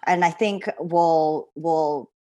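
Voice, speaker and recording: A woman's voice: sits low, fairly even intonation, slow, dark tone, no room sound. A young woman from Canada and the Philippines, a law student guest, telling her story reflectively and laughing now and then. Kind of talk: podcast interview.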